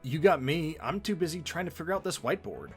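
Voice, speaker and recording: A man co-hosting a conversational commentary on an animated episode, with an animated, bantering delivery. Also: a little high, fast, husky, faint music behind. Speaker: co-host of fan commentary video.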